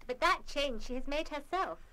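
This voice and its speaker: Kind proud headmistress, radio play, bright high voice. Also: female voice, animated, normal speed. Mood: proud, approving. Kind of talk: full-cast audio drama acting.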